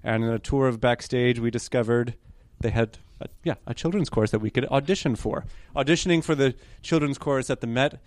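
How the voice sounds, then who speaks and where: A man's voice: medium pitch, brisk, hardly any pauses, a little mellow, no echo. Chatty, self-correcting New York man. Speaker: guest conductor candidate. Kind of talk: conversational storytelling interview.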